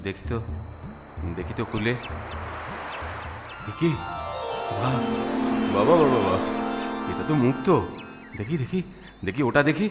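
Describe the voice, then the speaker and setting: Excitable, stingy cartoon village master, male actor, lively pitch, grumbles and repeats himself. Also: unhurried, long pauses, over loud music, close to an everyday voice. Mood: amazed. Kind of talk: Bengali cartoon story acting.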